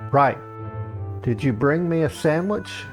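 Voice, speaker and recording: A man's voice: a little high, animated, slow pace, music in the background. Man putting on a grumpy, grandiose old priest. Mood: grumpy, resigned. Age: older. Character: grumpy, weary old high priest, pompous and exasperated.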